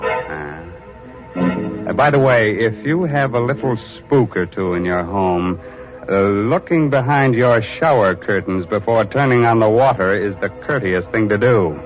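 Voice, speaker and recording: A man hosting a 1940s radio horror show with sly, punning, chuckling patter. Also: low-pitched. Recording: dull lo-fi old radio recording.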